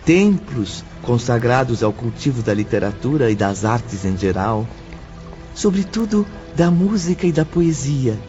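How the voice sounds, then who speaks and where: Slow, mellow male narrator. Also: medium pitch. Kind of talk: scripted audio drama narration. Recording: dull audio with faint music.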